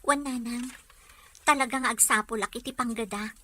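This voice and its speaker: A woman's voice: on the high side, fairly even intonation, normal speed, sharp tone. A young woman acting a hurt but dutiful and resilient young woman in a drama serial. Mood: dutiful, grateful.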